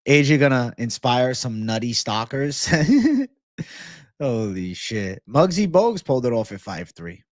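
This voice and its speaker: A man's voice: medium pitch, lively intonation, a little slow, no echo, studio quality. A man hosting a casual livestream, chatting and answering viewers in slangy, profane, bro-heavy banter. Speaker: livestream host.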